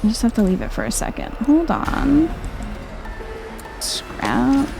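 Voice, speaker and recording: A woman giving soft-spoken, chill ASMR commentary over a video game playthrough, thinking out loud in a low-key way. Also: wildly swooping pitch, takes it slowly, creaky, music in the background.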